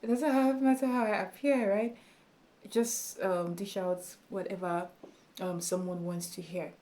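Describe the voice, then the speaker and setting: A woman with a small, soft voice speaks slowly to her camera, chatty and encouraging. Her tone is mellow and warm, and her casual delivery is sprinkled with fillers. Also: mid-range voice, steady tone, slight background noise. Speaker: YouTube tutorial creator. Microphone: camera in front of her.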